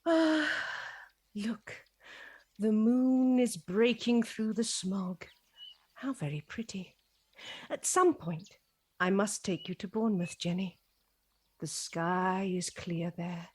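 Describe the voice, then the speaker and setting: A woman's voice: medium pitch, darkish tone, husky. A woman playing a proud, genteel reptilian lady of an ancient race in an audio drama, speaking formally and precisely. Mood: fond, teasing. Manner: formal, measured, precise, teasing.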